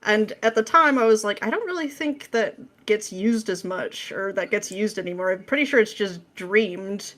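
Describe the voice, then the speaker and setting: A woman's voice: mid-range voice, normal speed, few pauses, fairly bright tone. A woman talking as a podcast guest in an informal, wry, joking conversational manner. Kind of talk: casual chat.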